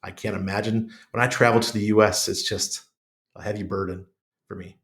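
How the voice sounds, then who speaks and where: Middle-aged American male, low voice, congested chest, blunt salty talker in a relaxed podcast chat. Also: a little fast. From United States. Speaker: podcast guest. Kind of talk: casual conversational interview.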